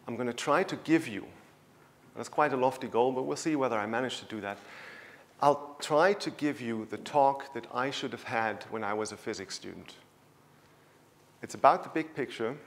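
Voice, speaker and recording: Man giving a lecture in a hall. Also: medium pitch. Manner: conversational, storytelling, with fillers. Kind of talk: public science lecture. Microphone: handheld microphone.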